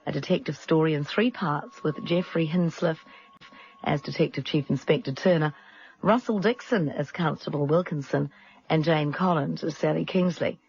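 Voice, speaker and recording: Husky, higher-pitched male radio announcer. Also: moderate pace. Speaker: radio drama continuity announcer. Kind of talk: formal scripted announcement. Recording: slightly muffled radio recording.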